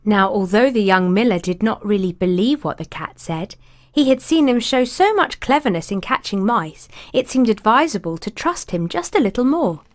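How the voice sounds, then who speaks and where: A woman reading a fairy tale aloud in an expressive storybook cadence. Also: medium pitch, normal speed.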